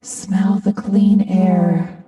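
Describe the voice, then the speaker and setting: A woman playing a spirit of nature in a staged play reading, warning humans in solemn, measured phrases, ominous yet loving. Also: mid-range voice, level tone, far from the mic, highs cut off. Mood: ominous calm. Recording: echoey hall reverb, loud music.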